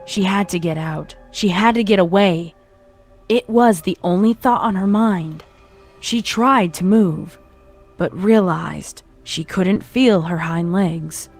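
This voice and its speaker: A woman's voice: fairly low pitch, takes it slowly. A woman reading scripted horror fan fiction as its narrator. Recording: music behind the voice.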